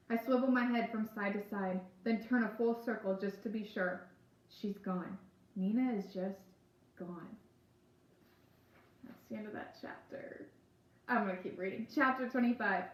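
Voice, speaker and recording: A woman reading a first-person novel aloud as narrator. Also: medium pitch. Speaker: book reader. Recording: echoey room.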